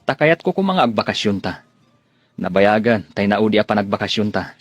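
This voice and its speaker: A man acting in a radio drama as a relaxed, agreeable relative chatting with family. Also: on the low side, normal speed, dry recording. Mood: casual.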